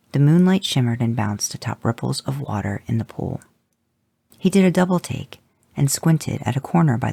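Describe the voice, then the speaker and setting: A man's voice: medium pitch, vocal fry, mellow, no room sound. A man steadily reading a piece of fan fiction aloud on a podcast.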